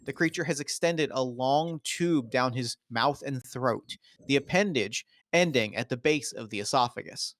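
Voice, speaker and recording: A man's voice: medium pitch, moderate pace, hardly any pauses, a bit bright, no echo. Man reading screenplay directions, dry close sound. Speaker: narrator reading stage directions. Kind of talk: scripted narration of a screenplay at a table read.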